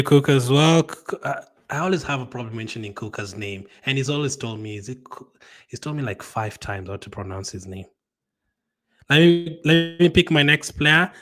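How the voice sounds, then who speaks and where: A man, hosting a casual livestream and answering viewer comments in a conversational, halting way. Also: mid-range voice, a bit bright. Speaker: livestream host.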